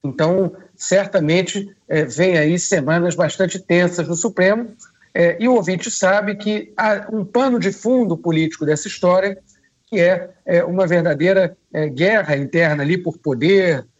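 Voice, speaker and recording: Male radio commentator, hoarse with a cold, hesitant and measured, slightly higher voice, dull phone-in sound. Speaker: political commentator.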